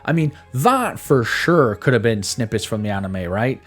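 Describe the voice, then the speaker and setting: A man's voice: medium pitch, expressive, moderate pace. A man giving a casual, opinionated game review in a conversational way, repeating words for emphasis. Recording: background music under voice. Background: music.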